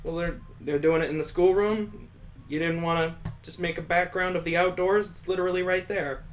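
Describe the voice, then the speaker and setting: Guy streamer reading game text out loud, kinda high voice, sarcastic little riffs. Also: steady tone, moderate pace. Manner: sarcastic, amused, breaks off to riff. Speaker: gaming streamer reading a visual novel aloud. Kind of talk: reading game text aloud with joking asides.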